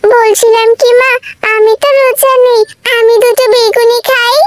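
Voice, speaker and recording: A woman acts out a greedy cartoon girl with a very high voice, close and dry. She wheedles and pouts like a child set on getting snacks. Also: little pitch movement, normal speed, dry recording. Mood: pleading, eager. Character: greedy, whiny, pleading girl who loves food. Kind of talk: children's moral cartoon dub.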